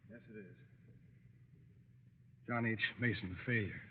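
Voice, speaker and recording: An actor playing a nervous, meek young lawyer and self-pitying husband in an old radio drama, hesitant and stammering. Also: male voice, medium pitch, big pitch swings, unhurried, gravelly. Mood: sullen, drunk. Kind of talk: 1930s-40s radio acting. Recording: muffled vintage radio recording, echoey, faint hiss.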